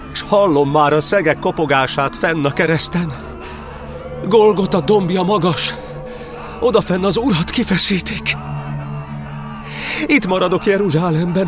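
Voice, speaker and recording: A man's voice: sits high, close to an everyday voice. A man playing an imprisoned aged cardinal, a proud and devout martyr, declaiming solemn verse in a radio monodrama with dramatic swings.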